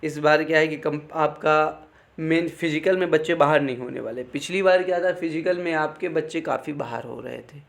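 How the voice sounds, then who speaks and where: Man, somewhat high voice. Informal coaching explainer, instructive, repeats points. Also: steady tone, moderate pace, vocal fry. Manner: repetitive, instructive, checks in with "okay" often. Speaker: exam-coaching presenter. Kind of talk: informal explainer talk, unscripted.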